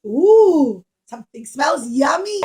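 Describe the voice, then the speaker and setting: A woman voicing a rude, greedy young hunter in a puppet show, exclaiming loudly with whiny impatience. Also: high voice, sing-song, slow pace, slight background noise, pitched above the performer's own voice, full character voice. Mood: hungry.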